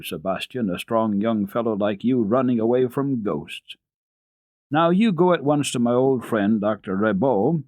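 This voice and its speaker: Man acting as gentleman householder, storybook, commanding, wry, mid-pitched, dry sound. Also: no room sound, natural voice.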